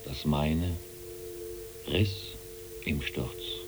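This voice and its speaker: Very deep, slow dead airman. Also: exaggerated voice. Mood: solemn, mournful. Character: dead young English airman, solemnly reciting his own epitaph. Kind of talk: literary radio play acting. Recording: loud music behind the voice.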